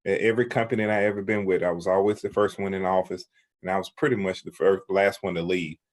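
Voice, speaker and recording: A man hosting a casual online session, relaxed and conversational. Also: sits low, quick pace. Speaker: host.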